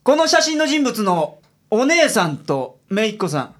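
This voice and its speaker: A man's voice: high voice, sing-song, a little slow. A man playing a shabby, shrewd private detective with a Kansai accent and a dry, teasing manner in a radio comedy. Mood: probing. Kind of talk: radio comedy drama acting.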